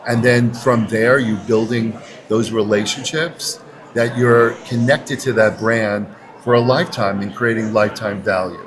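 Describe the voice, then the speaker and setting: A man's voice: on the low side, fairly even intonation, a little slow. A man in his sixties speaking earnestly and conversationally as a guest in a podcast interview. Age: 60s. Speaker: interview guest, advertising agency chief executive. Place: podcast booth at a busy conference. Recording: crowd chatter around the voice. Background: crowd chatter.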